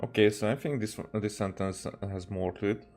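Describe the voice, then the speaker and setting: A man giving casual video game playthrough commentary, haltingly thinking aloud as he goes. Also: on the low side. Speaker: game streamer. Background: soft game music.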